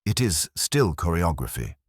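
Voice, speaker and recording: A man voicing an imperious, cerebral detective in an audiobook, speaking formally and deliberately. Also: low voice, big pitch swings, husky, no echo, clean studio sound, full character voice.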